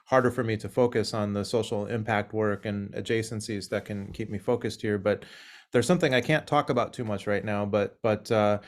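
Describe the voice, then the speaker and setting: A man speaking on a video call, rambling with frequent fillers. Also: fairly low pitch. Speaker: community member.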